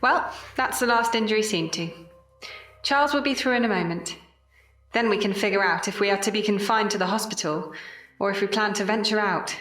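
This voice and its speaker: A woman's voice: medium pitch, a little fast, a bit bright, lightly acted. A woman playing a firm, principled survivor who holds a group together with commanding, earnest, formal speech in an audio drama. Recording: roomy, distant microphone.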